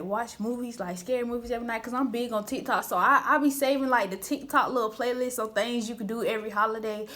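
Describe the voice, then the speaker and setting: Chatty young woman telling a storytime. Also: medium pitch. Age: 20s. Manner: candid, chatty, slangy, rambling with restarts. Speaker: vlogger. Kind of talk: casual storytime.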